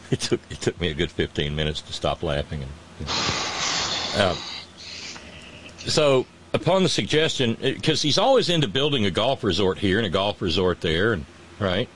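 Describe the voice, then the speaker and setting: A man's voice: fairly low pitch, very animated, moderate pace, pauses often, fairly bright tone, slight background noise, poor audio. A man hosting a casual political chat, sarcastic and mocking, often restarting his sentences. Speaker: podcast host.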